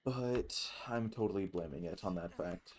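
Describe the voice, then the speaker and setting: A man giving casual, halting gameplay commentary as host, with some offhand swearing. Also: deep voice. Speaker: gaming video host.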